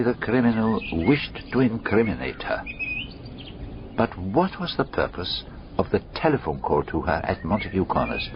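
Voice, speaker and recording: An actor playing a shrewd, methodical foreign detective in a radio drama, deliberate and courteous, musing aloud in rhetorical questions. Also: male voice, fairly low pitch. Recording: dull radio recording, clearly noisy background.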